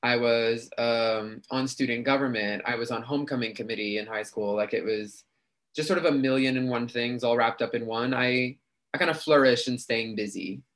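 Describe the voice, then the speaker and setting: A man's voice: mid-range voice, fairly even intonation, moderate pace, fairly bright tone. A young adult man from New City, a guest chatting casually and talkatively in an interview, full of "like" and "um". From New York City. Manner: talkative, chatty with frequent fillers.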